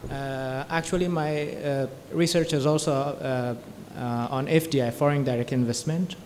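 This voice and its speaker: A man's voice: mid-range voice, steady tone, moderate pace, a little mellow. A young man from Afghanistan, an economics student, asking a question from the audience with many hesitant fillers. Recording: reverberant hall, faint background noise.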